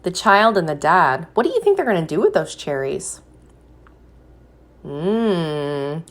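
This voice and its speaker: A woman reading a picture book aloud like a teacher, pausing to put questions to young listeners and encourage them. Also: lowish voice, big pitch swings. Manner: slow, deliberate, encouraging prompts.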